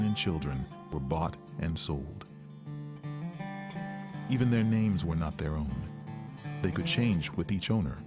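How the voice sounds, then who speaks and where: A man reading scripted documentary narration as the narrator. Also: low voice. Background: plucked guitar music.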